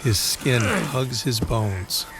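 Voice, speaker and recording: A man narrating a scripted crime-horror audio drama in a measured, deliberate storytelling manner. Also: mid-range voice, expressive, creaky, heavy background noise.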